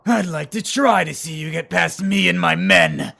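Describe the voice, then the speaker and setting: A man voicing a stern, hot-headed alien war commander in an animation, with a gruff, commanding and impatient delivery. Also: on the high side, huge pitch swings, moderate pace, bright, forceful tone, exaggerated voice. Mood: defiant, alarmed. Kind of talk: amateur animation voice acting.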